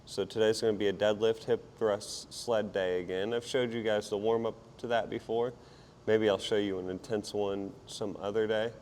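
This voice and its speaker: A man with a hoarse, partly lost voice talks casually and self-deprecatingly as a fitness vlogger while he exercises. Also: lowish voice, fairly even intonation, moderate pace, darkish tone, slight background noise. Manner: informal, self-deprecating, rambling while exerting himself. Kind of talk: casual workout vlog chat while exercising.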